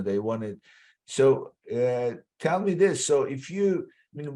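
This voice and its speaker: A man hosting a podcast interview, asking friendly questions with halting restarts. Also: fairly low pitch, darkish tone. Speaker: podcast host. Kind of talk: interviewing a guest.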